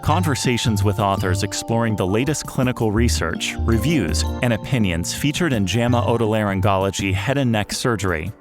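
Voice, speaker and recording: A man's voice: on the low side, animated, brisk, hardly any pauses. A man reading a scripted podcast introduction as an announcer. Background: music.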